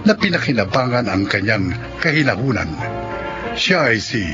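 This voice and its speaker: Male radio drama announcer, highly varied intonation, dramatic scripted read over loud music on a dull broadcast recording. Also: big pitch swings, moderate pace.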